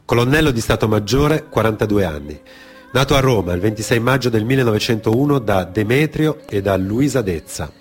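A man reading a scripted radio theatre narration in a grave, measured, declamatory manner. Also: on the low side, expressive, faint music behind.

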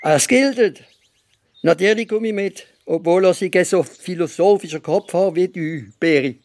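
An actor playing a sardonic, idealistic Alsatian newspaper editor in a radio play, speaking colloquial dialect with an Alsatian accent. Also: male voice, high-pitched, moderate pace, darkish tone. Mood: amiable.

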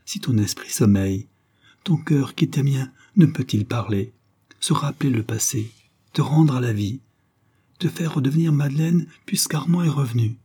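An anguished nobleman pleading with the woman he loves, performed by a male reader. His voice climbs high and has a husky, breathy edge. The delivery is impassioned and melodramatic, as in an old romantic novel. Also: normal speed, mellow. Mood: urgent pleading. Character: ardent, anguished count pleading with his beloved wife.

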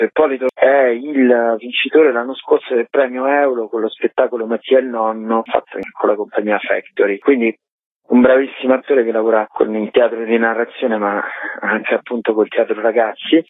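A man giving an explanatory radio interview as a guest festival director, on a remote call. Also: medium pitch. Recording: muffled echoey phone line, rough sound.

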